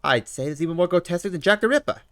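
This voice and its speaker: A man voicing an excitable Victorian gentleman detective with an attempted London accent. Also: sits high, very fast, no room sound, pitched above the performer's own voice. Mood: excited. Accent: attempted London English.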